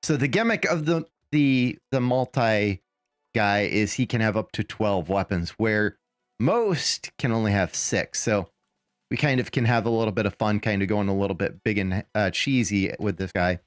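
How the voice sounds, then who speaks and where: A man with a raspy voice, still getting over a lost voice, giving casual live commentary on a game he is playing and thinking aloud as he goes. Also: fairly low pitch, normal speed, dry recording, clean studio sound, faint music behind. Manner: rambling, thinking aloud with fillers and restarts.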